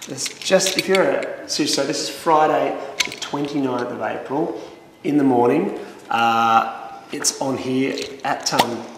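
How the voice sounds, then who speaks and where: Man, 30s, gravelly voice, chatty and offhand, long echoing hall, faint hiss. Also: mid-range voice, unhurried. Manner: offhand, chatty, fumbles and restarts. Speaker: wine promoter. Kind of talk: casual promotional chat. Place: indoor wine cellar with barrels. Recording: echoey roomy hall, faint noise.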